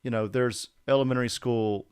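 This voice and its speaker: A man co-hosting a podcast interview, relaxed and casual as he tells an anecdote. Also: mid-range voice, brisk, clean tone, dry recording, clean studio sound. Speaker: podcast co-host.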